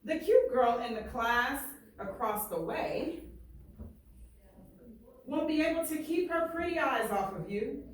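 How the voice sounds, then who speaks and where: A woman's voice: high-pitched, lively intonation, a little slow, long pauses. A woman teacher reading aloud to a class, speaking emphatically and often checking in with her listeners. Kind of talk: expressive classroom read-aloud with questions to pupils. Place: echoey classroom, mic at a distance. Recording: roomy echo, distant mic, faint noise.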